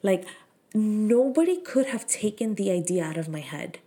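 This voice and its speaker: A woman's voice: mid-range voice, lively intonation, normal speed, dark tone. A woman, hosting her own podcast in frank, profane, filler-heavy casual chat. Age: 30s. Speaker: podcast host.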